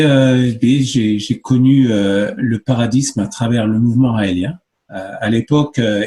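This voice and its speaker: Interview guest, man in his fifties from France, hesitant earnest delivery, mid-pitched voice. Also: a little mellow. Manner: hesitant, many fillers, earnest explaining. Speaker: guest, movement spokesman. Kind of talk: conversational interview answers.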